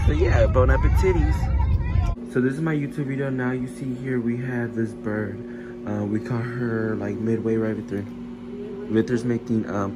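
A man's voice: medium pitch, very noisy. A young man vlogging casually on his phone, full of slang and repeated catchphrases. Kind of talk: casual vlog chat.

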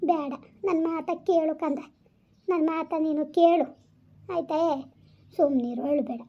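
A woman's voice: very high voice, steady tone, fairly quick, muffled recording. A woman acting a doting older mother consoling her grown son in a rural southern Karnataka accent, coaxing and repeating herself fatalistically. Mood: tender, resigned. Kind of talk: amateur family melodrama.

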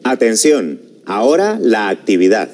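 Animated male Venezuelan TV presenter. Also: fairly low pitch, background noise. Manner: clear, deliberate. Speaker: TV course presenter. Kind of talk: scripted educational presenting. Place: TV studio.

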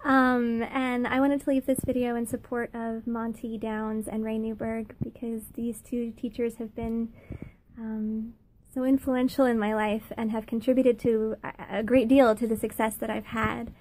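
A woman in her thirties with a high-pitched voice, recording a personal video message, earnest and halting with many pauses. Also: little pitch movement, a little mellow, slight background noise, low-quality recording. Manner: earnest, halting, many fillers, laughs between phrases. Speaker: former student giving a thank-you testimonial.

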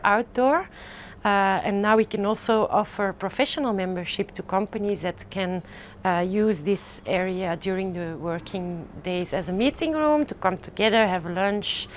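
A woman's voice: mid-range voice, a little hiss or hum. Woman giving a rooftop garden tour. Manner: halting, many uh fillers and restarts. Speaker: rooftop farm guide. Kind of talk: casual guided tour. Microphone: lapel mic.

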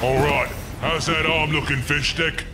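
A man's voice: fairly high pitch, steady tone, measured pace, very noisy, lightly acted. A man doing a brash pirate captain. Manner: brash and breezy. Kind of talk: fan-made animated short film.

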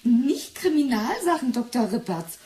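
An actress playing a brisk, matter-of-fact female research assistant in a 1980s radio drama. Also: a little high, big pitch swings, mellow, slight background noise. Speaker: assistant character in a radio play.

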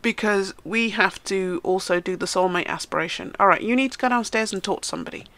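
A woman's voice: mid-range voice, fast talker, a bit bright. A woman hosting a casual let's-play, chatting to the game characters as she plays, sounding nasal and stuffed up from a cold. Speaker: gaming channel host.

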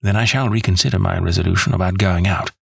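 A man reading an audiobook, voicing a calm, incisive detective who speaks in a measured, precise way. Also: low-pitched, creaky, husky, no echo, clean studio sound, exaggerated voice. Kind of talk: audiobook character voice.

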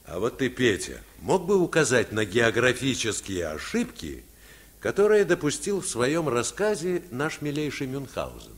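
An actor playing a commanding sea captain in a mid-century radio play, with a measured, theatrical delivery. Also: male voice, medium pitch, wildly swooping pitch. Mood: courteous. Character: commanding male sea captain of a storybook club.

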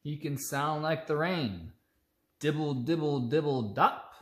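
A woman reading a children's picture book aloud, playful and expressive, acting out the sound words. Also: sits low, a little slow. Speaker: storytime reader. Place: indoors, seated close among children. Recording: roomy, echoey home recording.

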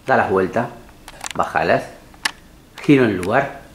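A man's voice: on the low side, expressive, unhurried, slight background noise. A man calling short, commanding directions at a casting session. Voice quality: curt, commanding. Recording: echoey studio room.

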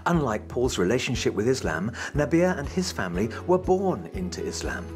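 A woman's voice: very deep voice, very animated, normal speed, music in the background. A woman giving scripted documentary narration.

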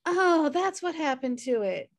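A woman's voice: high-pitched, animated, unhurried. A woman reading the part of a sardonic, wisecracking slacker sister in a sitcom table read over a video call. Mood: flippant. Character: flippant, sardonic slacker sister, adult twin. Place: indoor room at home on video call.

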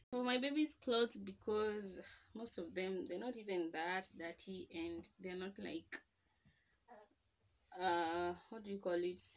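A woman's voice: on the low side, fairly bright tone, slight background noise. Chatty woman explaining step by step. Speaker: vlogger. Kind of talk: casual home how-to demonstration. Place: indoors at home beside a washing machine.

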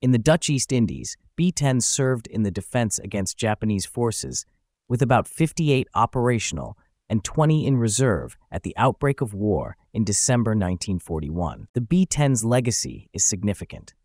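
A man narrating a scripted aviation history video in an even, factual reading. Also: lowish voice, normal speed, dry recording, studio quality.

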